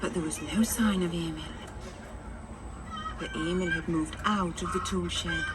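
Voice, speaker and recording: A woman's voice: lowish voice, fairly even intonation, measured pace, recorded from a distance, heavy background noise. A woman narrating children's stories in a scripted storytelling style for a story ride, heard from a little way off. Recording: loud music bed under the voice.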